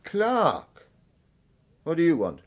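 An actor playing a sardonic, world-weary retired government assassin in a radio drama, dry and gruff. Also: male voice, on the high side, huge pitch swings, slow, exaggerated voice. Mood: suspicious, curt. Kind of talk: radio drama acting, first-person narration and dialogue.

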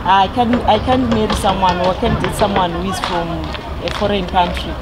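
A woman's voice: medium pitch, steady tone, brisk, loud music behind the voice, a loud crowd. A young woman who runs a beauty spa, answering a street interviewer frankly and laughing easily. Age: young adult. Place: outdoors on a roadside. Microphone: interviewer's handheld mic. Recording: clear street noise.

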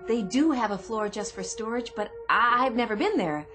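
A woman's voice: on the high side, expressive, normal speed, over music, lightly acted. An actress playing a kindly, deferential female shop clerk in a radio drama, speaking hesitantly and soothingly. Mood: puzzled, apologetic.

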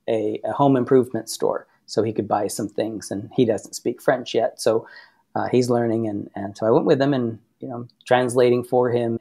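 A man's voice: medium pitch, dark tone. A man from Massachusetts, a guest on a podcast, telling stories in an easygoing, talkative way. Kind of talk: casual interview chat.

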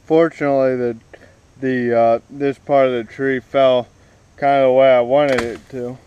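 A young man explaining his tree cutting as he goes, in a halting casual way with many fillers and restarts, recorded outdoors in a wooded yard on an action camera. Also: mid-range voice, steady tone, darkish tone, clean tone, lo-fi sound. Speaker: vlogger. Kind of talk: casual explanation while working. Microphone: action camera on the ground.

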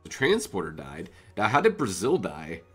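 Man, deep voice, lively swings, congested from a cold, chatty gameplay commentary, faint music behind. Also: moderate pace, slightly rough. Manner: chatty, thinks aloud while playing. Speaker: gaming channel host. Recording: faint music bed underneath.

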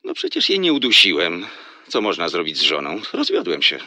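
An actor playing a courteous, amused divorced businessman in an audio drama, flirting gently in a very deep, gravelly voice. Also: wildly swooping pitch, brisk, no echo, exaggerated voice. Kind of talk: Polish audio drama dialogue.

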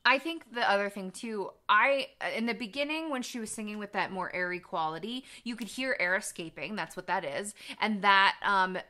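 A woman's voice: medium pitch, moderate pace, few pauses, sharp tone. A young woman, a voice teacher and co-host, thoughtfully explaining vocal technique in casual chat on a couch. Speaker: voice teacher and reaction video co-host. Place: living room studio, seated on a couch.

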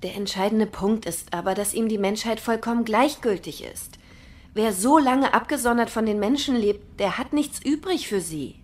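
A woman's voice: a little high, normal speed, close to an everyday voice. An actress in a radio drama playing an earnest, stubborn woman who works at an agency, pleading yet determined. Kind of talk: modern radio drama acting.

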